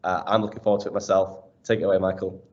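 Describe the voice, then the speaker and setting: A man with a somewhat low voice hosts a webinar and introduces the next speaker. He talks in an upbeat, chatty way with plenty of fillers. His voice comes through muffled call audio. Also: steady tone, very fast, noisy background. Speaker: webinar host. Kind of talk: casual introduction. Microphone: video call.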